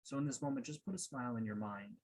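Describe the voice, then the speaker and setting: A man leading a guided meditation as a coach, speaking in a calm, unhurried way with pauses. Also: medium pitch.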